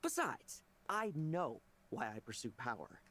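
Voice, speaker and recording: A man's voice: a little high, huge pitch swings, unhurried, bright, forceful tone, a little hiss or hum, full character voice. An actor playing a playful, wry, ambitious young male knight with a teasing, casual manner in a video game. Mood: cocky.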